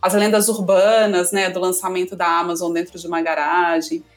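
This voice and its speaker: A woman's voice: on the low side, little pitch movement, normal speed, soft background music. A woman co-hosting a podcast, talking in a chatty way and often closing phrases with a tag question. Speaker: podcast co-host. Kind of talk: casual podcast chat.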